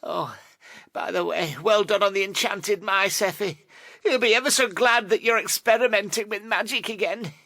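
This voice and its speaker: A man voicing a sly, teasing magical male cat with a gravelly, drawling, knowing manner in an audio drama. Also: high-pitched, moderate pace, pushed and bright, no room sound, heavily acted.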